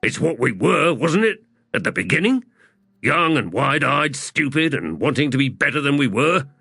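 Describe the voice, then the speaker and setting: Man as a stubborn scrappy soldier, pitched up. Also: very animated, a little slow, vocal fry, sharp tone, slightly rough, no echo, clean studio sound, full character voice. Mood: impassioned. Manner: blunt, insistent. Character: stubborn, scrappy male army sapper, insistent and impassioned.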